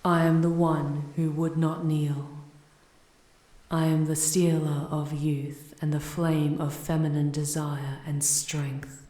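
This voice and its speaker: A woman plays an ancient, proud demoness with a low, velvety dark voice. She speaks in formal, deliberate phrases, charming and teasing yet commanding. It is an intimate, very dry ASMR roleplay recording. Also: flat delivery, dry recording. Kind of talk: ASMR roleplay, scripted audio drama.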